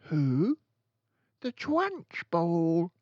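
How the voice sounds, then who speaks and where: A man's voice: on the high side, wildly swooping pitch, very slow, darkish tone, dry recording, dull audio, pitched above the performer's own voice, heavily acted. A man voicing an indignant, wide-eyed young schoolgirl in a storybook, with exaggerated, questioning delivery. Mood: curious.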